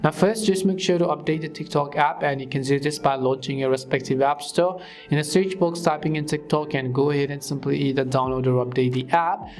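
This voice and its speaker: A man's voice: fairly high pitch, steady tone, fairly quick, darkish tone. A man presenting a step-by-step how-to tutorial as voice-over narration. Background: background music with guitar.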